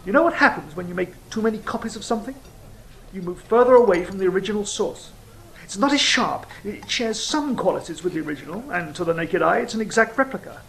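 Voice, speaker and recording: Audio drama casting: performer as sly fallen angel, philosophical and cynical, measured theatrical delivery, medium pitch, slightly noisy ambience. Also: lively intonation, creaky, a bit bright. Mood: sardonic, philosophical.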